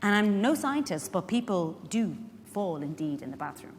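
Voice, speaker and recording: A woman's voice: mid-range voice, big pitch swings, normal speed, a little hiss or hum, natural voice. An Irish woman actor playing a chatty, frank, wry carer in a theatrical monologue, putting pointed questions to her listeners. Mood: pointed, explaining. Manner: conversational, pointed rhetorical questions. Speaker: actor in a dramatic reading. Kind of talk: theatrical monologue addressed to a live audience. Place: large indoor hall at a public event. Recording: echoey hall, live stage reading.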